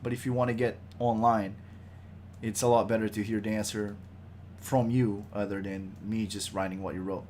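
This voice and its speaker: A man's voice: on the low side, flat delivery, normal speed, a little mellow, a little hiss or hum. A man hosting a casual podcast livestream, joking and loose with a groggy voice. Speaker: podcast host.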